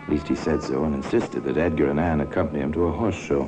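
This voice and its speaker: A man's voice: rumbling low, steady tone, quick pace. A man narrating a 1950s radio crime drama in a ruminative, wry, insinuating manner, as a knowing storyteller. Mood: ominous, measured. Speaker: narrator and host of a crime anthology. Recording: old radio recording with music.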